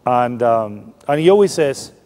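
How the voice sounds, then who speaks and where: A man's voice: medium pitch, lively intonation, unhurried, a little mellow. A man giving a public science lecture, explaining informally and often restarting his sentences. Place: large lecture hall. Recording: long hall reverb.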